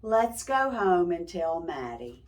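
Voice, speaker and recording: A woman's voice: lowish voice, very animated, slow pace. A woman voicing a resigned father in a children's storybook. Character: storybook father, grown man, resigned after a failed chase.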